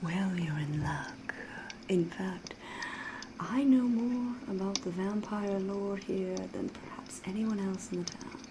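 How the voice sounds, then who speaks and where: A woman acting as ancient vampire woman, ASMR roleplay, very slow, soft whispery voice, coy and ominous, noisy ambience behind. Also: mid-range voice, lightly acted. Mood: coy, flattered. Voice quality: breathy, soft, often whispering. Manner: coy, deliberate, ominous, theatrical, drawn-out phrasing.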